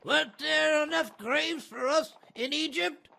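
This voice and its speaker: A man's voice: measured pace, pushed and bright, exaggerated voice. A man voicing a panicked escaped slave who complains bitterly and accusingly in a strained voice, in a dramatized Bible reading. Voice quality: strained, creaky shrill voice.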